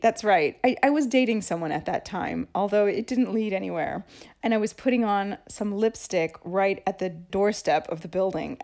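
A woman's voice: mid-range voice, a little mellow. Chatty woman telling laughing anecdotes. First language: English. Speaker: podcast host. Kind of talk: casual storytelling monologue.

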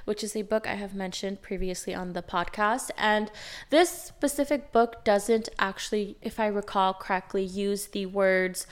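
A young woman hosting her own podcast, talking casually and directly, sounding nasal and raspy from a cold. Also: medium pitch. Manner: chatty, direct, occasionally swears. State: has a cold. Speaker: podcast host and life coach. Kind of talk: casual solo podcast monologue.

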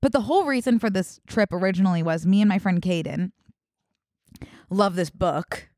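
A woman's voice: medium pitch, moderate pace, dark tone, dry recording, studio quality. A young woman co-hosting a podcast, chatting casually and telling a story with lots of likes and ums. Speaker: podcast co-host. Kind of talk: casual chat.

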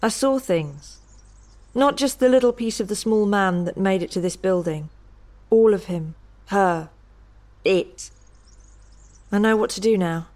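A woman's voice: medium pitch, measured pace, pauses often, close to an everyday voice. A woman playing an eerie, entranced young woman in a horror audio story. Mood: calm, detached. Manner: flat monotone that rises to sing-song.

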